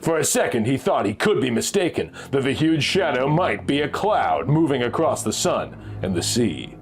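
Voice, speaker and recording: A man reads pulp adventure fiction aloud in a measured, suspenseful storytelling manner. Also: a little high. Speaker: narrator reading a vintage magazine story. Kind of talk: dramatic scripted narration of pulp adventure fiction. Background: background music.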